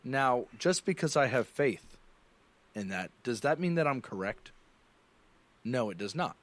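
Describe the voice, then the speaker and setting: A man calling in, arguing step by step in a steady, methodical way. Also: medium pitch, unhurried. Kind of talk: reasoned argument in a played clip.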